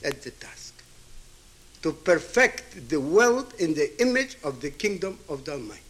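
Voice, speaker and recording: A man's voice: a little high, big pitch swings, measured pace, a bit bright. A man in his seventies from Ukraine, a native Hebrew and Yiddish speaker, giving a reflective public address in an emphatic way, with rhetorical questions and repetition. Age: 70s.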